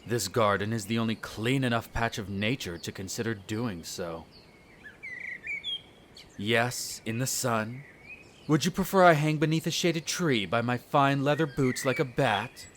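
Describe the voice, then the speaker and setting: A man's voice: mid-range voice, expressive, a little slow, fairly bright tone, slight background noise. A man playing a smug, menacing aristocratic vampire in a scripted audio roleplay, speaking formally with condescending disdain. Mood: haughty, dismissive. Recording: birdsong and nature sounds behind. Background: birdsong, garden nature ambience.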